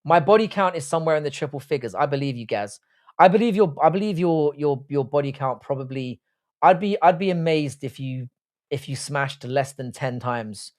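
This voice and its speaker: A man's voice: a little high, expressive, moderate pace, a little mellow. A man in his early forties with a British accent, hosting a casual livestream chat in a blunt, crude, slangy, jokey way. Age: early 40s. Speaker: livestream host. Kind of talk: casual chat, reading and answering live comments.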